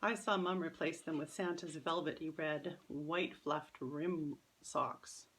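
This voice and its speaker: A woman's voice: sits low, steady tone, slow, a bit bright, a little hiss or hum. A woman reading a children's story aloud as its author, in scripted first-person narration.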